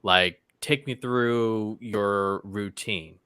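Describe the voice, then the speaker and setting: A man's voice: fairly low pitch, fairly even intonation, very slow, pushed and bright, clean tone, no echo, clean studio sound. A man co-hosting a casual talk show, speaking in an emphatic, conversational way. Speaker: co-host of a movie talk show. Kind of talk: casual chat, posing questions.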